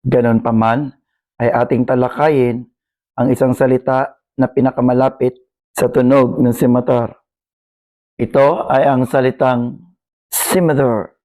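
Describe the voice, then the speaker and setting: A Filipino man narrates educational material from a script. His voice sits at a medium pitch, and his delivery is formal and deliberate, with carefully composed sentences. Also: a little mellow, low-quality recording. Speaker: video narrator.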